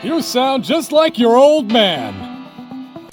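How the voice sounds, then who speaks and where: A man playing a domineering retired rap star father in an animated game cutscene, mocking and theatrical as he draws out his words. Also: extremely high pitch, faint music behind, heavily acted. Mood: scornful. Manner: mocking, theatrical, drawn-out delivery.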